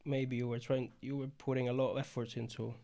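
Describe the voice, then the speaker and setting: A young man from Italy speaks English with an Italian accent in a relaxed podcast chat. His voice sits in an ordinary middle register, and he pauses often with fillers while he hunts for the right word. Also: mid-range voice, vocal fry. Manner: hesitant, searching for words. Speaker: podcast guest. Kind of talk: casual conversation.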